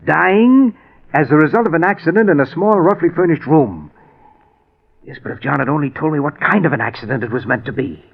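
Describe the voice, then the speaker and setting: A man's voice: on the high side, lively intonation, fairly quick, vocal fry. An actor playing a haunted man obsessed with cheating death, in an agitated, theatrical 1940s radio drama style. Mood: urgent resolve. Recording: muffled, band-limited old 1940s radio recording.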